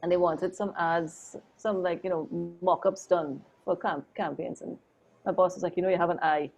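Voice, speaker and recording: A woman, a photographer and interview guest, telling her story in a chatty, animated way with lots of "like" and "oh my God". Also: lowish voice, normal speed, gravelly, slightly rough, faint music behind, rough recording.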